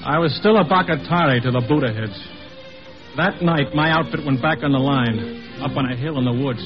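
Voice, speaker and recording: A man's voice: mid-range voice, fairly quick, natural voice. A man acting a plain-spoken army lieutenant from Texas, narrating his war story in a 1950s radio drama. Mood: grim, reflective. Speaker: narrator and lead actor. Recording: dull old radio recording with music.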